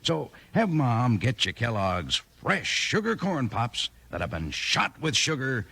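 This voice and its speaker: Casting: male 1950s radio ad announcer, hearty and homespun, lively intonation, husky edge. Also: a little slow. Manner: folksy, hearty sales pitch. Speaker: commercial announcer. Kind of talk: 1950s radio ad read to children.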